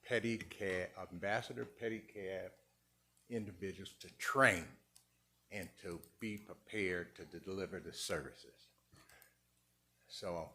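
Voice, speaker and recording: A man with a fairly low voice speaks at a council chamber podium, stumbling and restarting before pressing on with emphasis. His delivery is unscripted and earnest in tone of public comment. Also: pushed and bright. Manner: halting, repeats words, rising emphasis.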